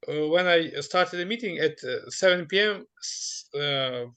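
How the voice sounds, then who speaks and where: A man's voice: fairly high pitch, animated, a little slow, a bit bright, clean tone. A man moderating an online Q&A session, speaking hesitantly with many filler sounds.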